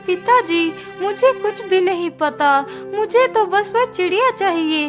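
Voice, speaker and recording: A woman's voice: extremely high pitch, lively intonation, measured pace, over music. A woman voicing a young, spoiled and demanding princess in a cartoon story. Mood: stubborn. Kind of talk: children's cartoon story voice acting.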